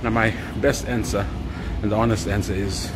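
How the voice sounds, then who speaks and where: A blunt, plainspoken middle-aged man talks straight into his phone, repeating himself as he goes. His voice sits on the low side, and loud noise rolls underneath. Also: fairly even intonation, normal speed. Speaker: gym vlogger. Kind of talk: casual advice vlog. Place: large indoor gym. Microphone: phone held at arm's length.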